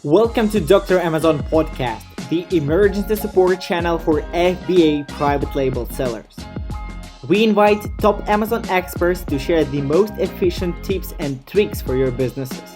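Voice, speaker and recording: A woman's voice: lowish voice, lively intonation, moderate pace. Woman reading podcast intro over music. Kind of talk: scripted promotional intro. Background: loud music bed.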